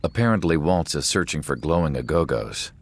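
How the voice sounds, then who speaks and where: A man's voice: a bass voice, lively intonation, brisk, breathy edge, exaggerated voice. A man voicing a stern, commanding rebel leader in a video game, serious and authoritative.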